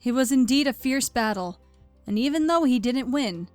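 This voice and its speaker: Woman narrating a story over soft music. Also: sits high, lively intonation, normal speed. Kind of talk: scripted storybook narration of fan fiction.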